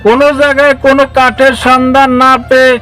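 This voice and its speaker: A man's voice: very high voice, completely flat delivery, fast talker, fairly bright tone, smooth, soft background music. A man narrating a scripted children's fable as a storyteller.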